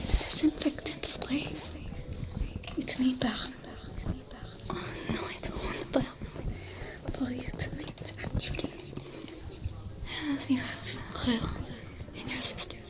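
A woman voicing a young female alien smuggler with a French accent, who gently tends a stranger's wounds in a whispered, soft-spoken ASMR roleplay. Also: sits high, low-quality recording. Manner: gentle, reassuring, coaxing. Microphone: close to the camera.

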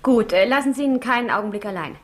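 A woman's voice: a little high, fast talker, heavy background noise, lightly acted. An actress in a 1960s radio crime drama playing a composed, concerned young woman psychiatrist with a polite, questioning manner. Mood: professional, inquiring.

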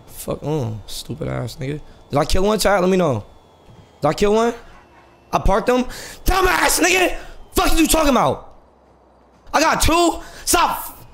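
A man's voice: high-pitched, big pitch swings, unhurried, gravelly, a bit bright. An American man chatting on a casual gameplay stream in brash, profane, repetitive street slang. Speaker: live streamer playing a GTA roleplay game.

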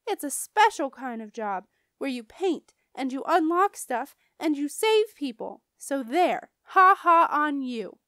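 An excitable, headstrong young girl from a children's storybook, as a woman reader puts her on. She speaks loudly and very high, stressing words with childish bluntness. The recording is dry and close. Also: unhurried, no room sound, heavily acted. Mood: defiant. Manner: loud, emphatic, childish grammar. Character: brash, excitable, stubborn young schoolgirl. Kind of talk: children's audiobook character voice.

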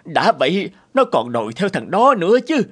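A worked-up middle-aged cartoon father, raised pitch, anxious and quick to temper. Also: very animated, a bit bright, husky, heavily acted. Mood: exasperated. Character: worried, hot-tempered middle-aged father of a runaway daughter. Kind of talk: animated drama acting.

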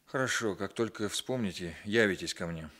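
An actor playing a composed, authoritative police captain in a radio drama, measured and commanding. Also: male voice, on the low side, a bit bright. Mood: cool, pressing. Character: composed, authoritative male carabinieri captain investigating crime. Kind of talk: radio drama dialogue and first-person narration.

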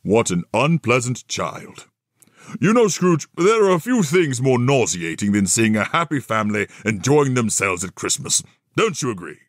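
A man's voice: medium pitch, sing-song, normal speed, no echo, clean studio sound, voice pushed up. Man playing a swooping, mocking Christmas spirit. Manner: theatrical, sardonic, pronouncing.

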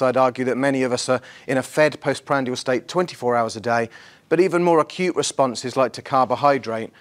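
A middle-aged man with a slightly husky voice, giving an academic conference lecture in an informal, lightly self-deprecating manner. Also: medium pitch. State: slightly husky from the night before. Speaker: university professor, conference speaker. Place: large lecture theatre, on stage before an audience.

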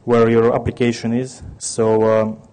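A man's voice: mid-range voice, flat delivery, unhurried, mellow, clear, resonant tone. A man in his thirties from Ukraine with a Russian accent, giving a technical conference talk with many restarts and fillers. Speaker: conference speaker.

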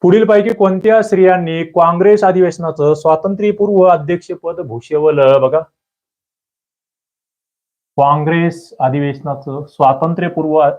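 A man teaching an exam prep lesson, quizzing his listeners with lively questions, then answering them himself. He often clears his throat. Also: medium pitch, dull audio. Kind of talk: quiz-style exam prep lecture.

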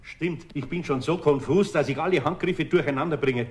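An actor playing a good-natured, harried older master carpenter with a Bavarian accent in a children's radio play, homely and grumbling. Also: male voice, on the high side, moderate pace, close to an everyday voice. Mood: flustered, relieved. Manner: homely, grumbling, chuckling indulgently.

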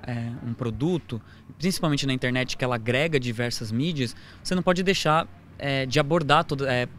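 A young Brazilian man, a student, gives a halting interview answer at medium pitch. He is outdoors on a handheld microphone, with faint background noise. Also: a bit bright. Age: young adult. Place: outdoors by a campus building. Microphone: handheld reporter microphone.

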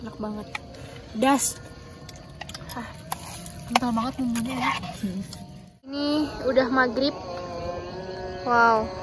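A woman's voice: fairly high pitch, fairly even intonation, slow pace, pauses often, poor audio. A woman chatting casually to her viewers while camping outdoors, with music playing behind her. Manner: chatty, addresses viewers directly. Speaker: camping vlogger. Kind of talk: casual vlog chat to camera. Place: outdoors at a misty hill campsite and in a tent. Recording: talk over loud background music.

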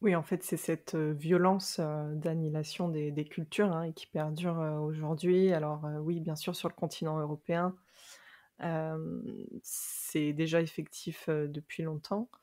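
Woman hosting a podcast, lowish voice, chatty, laughs a lot, lots of euh. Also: little pitch movement, unhurried. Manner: warm, laughs easily, hesitant restarts. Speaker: podcast host. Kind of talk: conversational interview.

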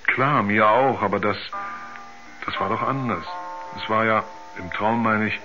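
Guy playing troubled astronaut in an old radio play, halting, low voice, music behind. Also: close to an everyday voice. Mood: uneasy, insistent. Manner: halting, groping for words, repeats himself. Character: troubled male astronaut, haunted by terrifying dreams. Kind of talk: 1970s German radio drama acting. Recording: dull 1970s radio play recording.

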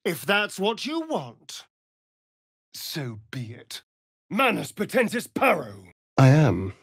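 A man's voice: on the high side, huge pitch swings, takes it slowly, husky, no echo, studio quality, full character voice. A man playing a vain, sardonic aristocratic vampire rogue in a video game, with a British accent and an arch, theatrical drawl. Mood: disdainful, resigned, then tense. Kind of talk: video game character acting.

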